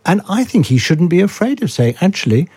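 A man guest on a political discussion podcast who speaks in a measured, deliberate way. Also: sits high, big pitch swings, darkish tone, husky, no room sound. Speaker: guest commentator.

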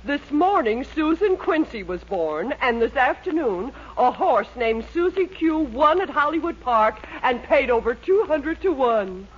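A woman's voice: high voice, big pitch swings, measured pace, hardly any pauses, slightly rough, exaggerated voice. An actress playing a fussy, exasperated middle-aged American mother in a 1940s radio sitcom. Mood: regretful. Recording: muffled, noisy old radio recording.

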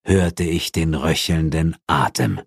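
Low-pitched man narrating an audiobook. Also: takes it slowly, breathy edge, no echo, studio quality. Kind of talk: dramatic first-person horror audiobook narration.

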